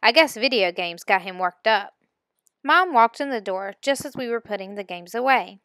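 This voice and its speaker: A woman reading a first-person children's story aloud in an expressive, storytelling manner. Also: mid-range voice, normal speed, no echo. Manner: expressive, acting out dialogue. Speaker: reader of a children's novel.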